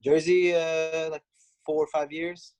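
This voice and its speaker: A man from Canada, a pizzeria owner, answering interview questions in a halting way with many fillers. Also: a little high, level tone, darkish tone, clean tone.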